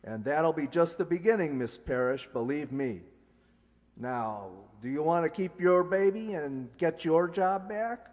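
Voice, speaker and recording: A man's voice: on the high side, lively intonation, a little mellow. A man acting a stern, self-righteous young businessman in a radio comedy play, pompous and lecturing. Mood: threatening. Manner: pompous, lecturing, deliberate phrasing. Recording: echoey hall.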